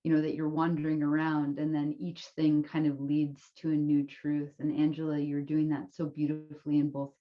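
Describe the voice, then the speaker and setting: A woman's voice: deep voice, monotone, normal speed, hardly any pauses. A woman poet speaking reflectively in a casual panel discussion, often searching for her words. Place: remote video call. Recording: dull, lo-fi video call audio.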